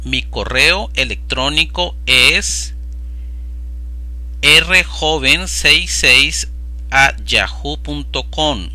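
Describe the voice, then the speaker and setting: A man's voice: on the high side, sharp tone. A man teaching Spanish, reading practice phrases aloud one at a time with careful pronunciation. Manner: slow, carefully enunciated phrase by phrase. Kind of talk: slow read-aloud vocabulary drill. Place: voice-over over slides.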